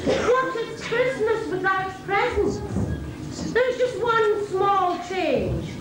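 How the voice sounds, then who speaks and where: A woman's voice: very high-pitched, big pitch swings, very slow, music in the background, heavily acted. A woman playing a pompous, imperious wicked queen in an amateur stage pantomime. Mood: mocking, commanding. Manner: pompous, theatrical, commanding. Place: school stage, recorded from far off. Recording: distant, echoey, noisy school hall stage recording.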